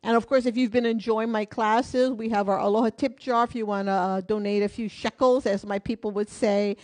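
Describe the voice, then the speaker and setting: A woman's voice: fairly high pitch, steady tone, brisk, a little mellow. A woman teaching a live online hula class from her home in Hawaii, counting beats and talking through each move in a friendly, encouraging way.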